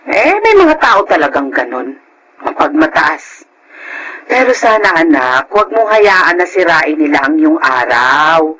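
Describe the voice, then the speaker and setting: An actress playing a gentle, hopeful, middle-aged mother, consoling and earnest, in a Filipino radio drama. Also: low voice, big pitch swings, unhurried, full character voice, rough recording.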